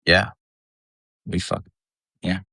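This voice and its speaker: A man from the United States talking casually as an interview guest, laid-back, slangy and quick to swear. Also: deep voice, level tone, gravelly, sharp tone, no room sound, studio quality. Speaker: interview guest, band vocalist. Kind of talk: casual chat.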